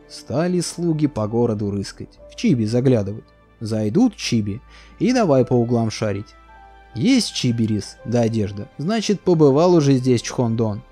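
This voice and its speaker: A man narrating a fairy tale for a cartoon in a sing-song, expressive storytelling manner. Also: medium pitch, slightly rough. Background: faint music.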